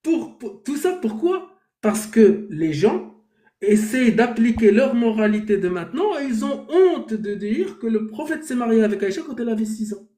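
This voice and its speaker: A high-pitched man with a mellow, soft-edged tone argues his case on a live debate stream. He fires rhetorical questions and then answers them himself. The room around him rings with echo. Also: big pitch swings, normal speed. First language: Arabic. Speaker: debate host.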